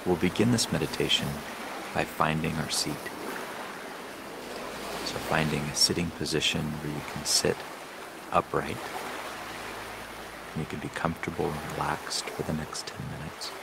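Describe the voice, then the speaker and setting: A man leading a guided meditation in a slow, soothing, measured way with long pauses. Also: extremely low pitch, little pitch movement, vocal fry. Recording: loud ambient water noise throughout. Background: flowing water and ocean ambience.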